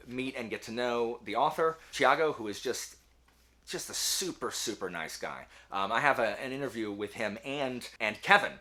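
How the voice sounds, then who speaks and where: A man talking casually to camera as a book channel host, emphatic and animated, with a self-deprecating way of speaking. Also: on the low side. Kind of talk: casual talk to camera, answering book tag questions.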